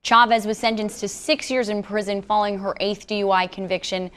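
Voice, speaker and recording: A woman's voice: mid-range voice, steady tone, fairly quick, a bit bright, slight background noise. A woman with an American accent narrating a scripted television news report as a reporter.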